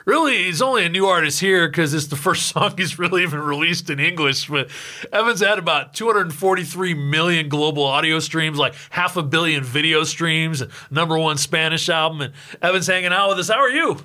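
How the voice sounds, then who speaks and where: A man hosting a radio interview, introducing his guest in a brisk, upbeat way. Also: fairly high pitch, bright, forceful tone. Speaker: radio host.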